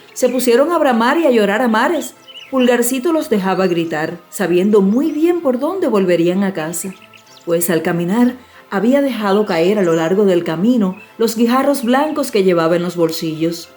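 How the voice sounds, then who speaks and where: A woman narrating a fairy tale as a scripted audiobook, in a measured, expressive storytelling manner. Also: mid-range voice, soft background music.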